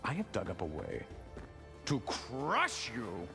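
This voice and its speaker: A man's voice: fairly low pitch, wildly swooping pitch, slow pace, creaky, loud music behind the voice, heavily acted. A man playing a smug megalomaniac villain in a video game, drawling and condescending with theatrical menace. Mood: manic menace. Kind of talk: dramatic video game cutscene acting.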